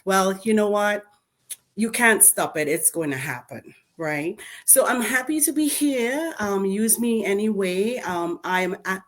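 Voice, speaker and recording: A middle-aged woman from Trinidad and Tobago with a Trinidadian accent, speaking as a guest in a community panel discussion and telling her story emphatically. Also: medium pitch. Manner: lively storytelling, emphatic and animated. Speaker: school board member and panel guest.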